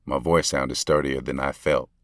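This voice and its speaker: A man's voice: a bass voice, fairly even intonation, moderate pace, a bit bright, slight background noise. A male actor with an American accent playing a lovestruck, regretful basketball coach who confides in listeners in slangy, conversational audio drama narration. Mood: wistful. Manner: slangy, confessional, intimate. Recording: music playing under the voice. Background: music.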